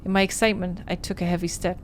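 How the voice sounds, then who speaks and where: A woman game streamer on a livestream playthrough, reading and talking through a visual novel in an expressive storytelling way. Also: fairly low pitch, racing, slight background noise.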